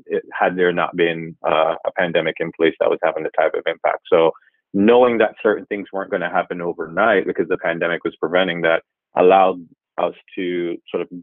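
A man in his forties from Jamaica, a guest speaking in a measured, thoughtful way and often pausing to rephrase. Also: deep voice, no echo. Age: 40s. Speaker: guest, revenue executive. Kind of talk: reflective interview answers. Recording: tinny phone-like line, dry.

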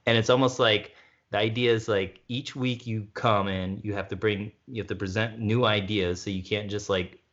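A man with a low voice talks loosely and casually, circling his point with lots of "like"s and restarts. The audio is a bit dull. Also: steady tone, moderate pace, a bit bright. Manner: rambling, many "like"s and restarts. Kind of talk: casual conversation.

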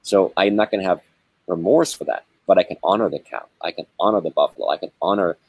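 A man with a very deep, somewhat husky voice talks in a slow, searching way, pausing often as he reflects. The sound is close and dry, with no room around it. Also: no echo, muffled recording. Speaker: spiritual guest. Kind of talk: reflective spiritual conversation.

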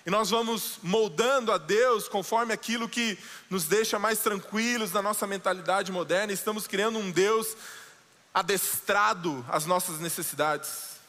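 A man preaching a sermon as pastor, slowing down and dropping low for dramatic quoted lines. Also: sharp tone, in a large hall. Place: church sanctuary.

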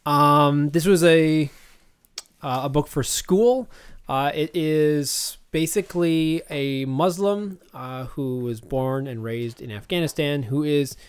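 A man talking casually and colloquially about books in an animated way, like a guest on a podcast. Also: on the high side, takes it slowly, smooth. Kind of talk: casual book chat.

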